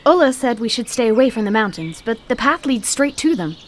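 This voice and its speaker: Woman playing a sensible planner type, kinda high voice, just matter of fact. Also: big pitch swings, brisk, background noise. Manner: level-headed, matter-of-fact. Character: practical young woman planning the route.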